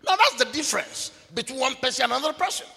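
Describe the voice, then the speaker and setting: A man preaches in a very high, gravelly voice, pressing each point home with repetition and sudden shouts. His sermon rings out with the long reverb of a large hall. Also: animated, quick pace. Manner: emphatic, repetitive, bursts of shouting.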